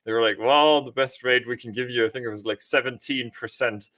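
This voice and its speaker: Guy hosting a podcast, bright forceful voice, chatty explaining. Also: medium pitch, fairly even intonation, a little fast. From Austria. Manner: informal, often checks with "right?". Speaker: podcast host. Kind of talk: casual conversational explanation.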